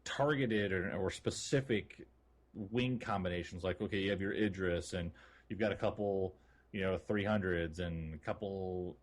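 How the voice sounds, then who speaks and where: A man's voice: sits low, normal speed. A man co-hosting a gaming podcast, talking in an informal, conversational way. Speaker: podcast co-host. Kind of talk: casual gaming podcast chat.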